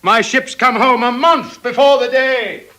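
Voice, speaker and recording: An actor playing a confident, carefree Venetian merchant in an assured, declamatory Shakespearean drama style. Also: male voice, extremely high pitch, fairly even intonation, a little slow, heavy background noise. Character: Antonio, confident, carefree male merchant of Venice. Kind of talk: classical Shakespearean audio drama acting.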